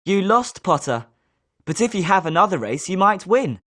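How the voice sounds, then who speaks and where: Lowish, animated British schoolboy played by a woman. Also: moderate pace. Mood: consoling. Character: confident schoolboy wizard, sporting and competitive. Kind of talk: scripted video game character lines.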